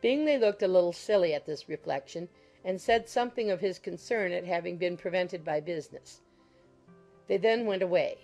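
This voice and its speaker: A woman's voice: on the low side, moderate pace, a little mellow. A woman reading scripted literary narration as the narrator of an audiobook. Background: music.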